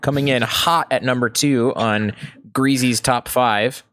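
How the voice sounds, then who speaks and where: A man hosting a casual stream, teasing his guest with quick jokey banter. His voice sits at an ordinary middle pitch. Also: fairly even intonation, a bit bright. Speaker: host.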